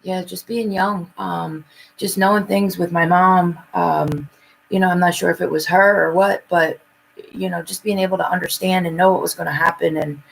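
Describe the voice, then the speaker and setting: A woman speaking casually and haltingly as a psychic reader in a live chat, often repeating her phrases. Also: on the low side, steady tone, gravelly, a little hiss or hum, poor audio.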